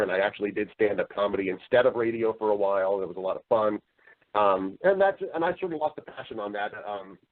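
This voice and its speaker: American man chatting over a phone-like connection. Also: on the low side. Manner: chatty, rambling, often repeating words. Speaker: interview guest, voice actor and entertainer. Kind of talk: casual storytelling in an interview. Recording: muffled, narrow phone-like sound.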